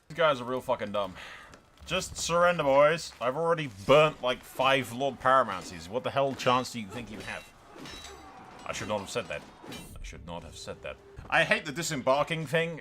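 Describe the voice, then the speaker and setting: A man's voice: mid-range voice, a bit bright. A man giving casual, sweary and blunt gameplay commentary as the host of a gaming video. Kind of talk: casual gameplay commentary with role-play asides.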